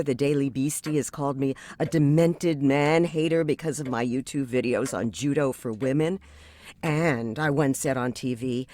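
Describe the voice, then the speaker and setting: A woman's voice: rumbling low, warm tone. A woman playing an outspoken, defensive young therapy client in an audio drama, with a frank, sardonic manner.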